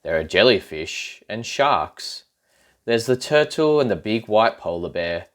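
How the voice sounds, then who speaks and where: A man reading a children's rhyming picture book aloud in an expressive, playful way, with asides to young listeners. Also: on the low side, measured pace. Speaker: storybook reader.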